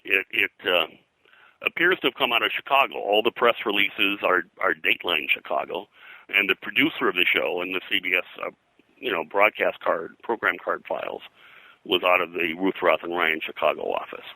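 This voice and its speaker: An older man being interviewed as a historian, telling anecdotes in a halting, rambling way and often clearing his throat. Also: low-pitched, pushed and bright, dry recording, rough recording. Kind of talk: anecdotal interview talk about old-time radio and pulps.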